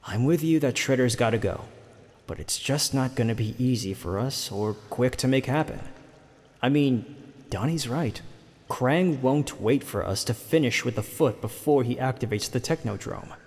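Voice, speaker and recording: A man's voice: medium pitch, lively intonation, moderate pace, a little mellow, natural voice. A man playing a young turtle warrior and dutiful son in an audio drama, earnest, hesitant and respectful. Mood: doubtful. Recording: long reverberant hall echo.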